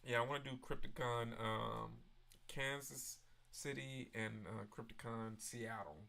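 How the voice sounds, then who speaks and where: A man hosts a live stream, chatting easily and replying to his viewers one message at a time. His voice is gravelly with a lot of fry, yet bright and forceful, at a middle pitch. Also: fairly even intonation, a little slow. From Memphis, Tennessee. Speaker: livestream host. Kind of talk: casual live-stream chat.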